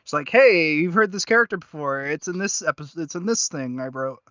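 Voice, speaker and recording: A man co-hosting a casual fan discussion, speaking in a halting, slangy, self-deprecating way and often repeating himself. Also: on the high side, lively intonation, bright, forceful tone, no echo, dull audio. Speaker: podcast co-host reviewing audio dramas.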